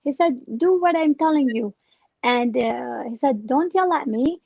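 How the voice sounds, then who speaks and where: A woman telling a personal story as an interview guest, casually and with animated retelling of exchanges. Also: high voice, measured pace, creaky, no echo, dull audio. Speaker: interview guest, scam victim.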